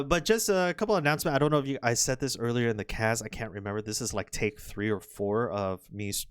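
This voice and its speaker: A young man commenting on a Go match, chatty and rambling, his voice a little hoarse from overuse. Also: medium pitch, dry recording, clean studio sound. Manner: chatty, rambling, frequent restarts and fillers. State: slightly hoarse, recovering voice. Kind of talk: casual game commentary.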